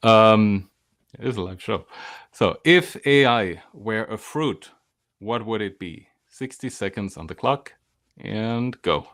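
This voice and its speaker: A man with a fairly low voice hosts a live show over a video call, upbeat and energetic as he keeps things moving. His voice sounds close and dry, with no room around it. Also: takes it slowly, no room sound. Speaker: host. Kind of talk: live show hosting, casual.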